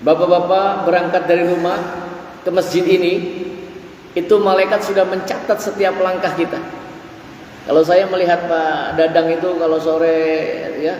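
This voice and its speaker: A man's voice: high-pitched, level tone, moderate pace, pauses often, darkish tone, dull audio, slight background noise. A man in his forties giving a dawn sermon in a mosque, stretching out his phrases and reciting Arabic verses. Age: 40s. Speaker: preacher. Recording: echoey hall, voice from far off.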